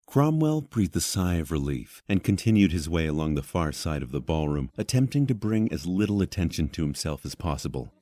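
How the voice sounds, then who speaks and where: Deep-voiced male fantasy narrator. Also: very animated, fairly quick, few pauses, dark tone, no room sound, clean studio sound. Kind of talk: scripted fantasy audio drama narration.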